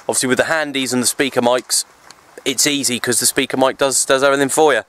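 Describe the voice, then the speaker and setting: A man's voice: medium pitch, fairly even intonation, quick pace, a bit bright. A man chatting casually and easily in a rambling outdoor vlog, recorded on a handheld camera at arm's length. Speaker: radio hobbyist vlogger. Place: outdoors, open parkland in wind. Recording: noisy windy outdoor camera audio.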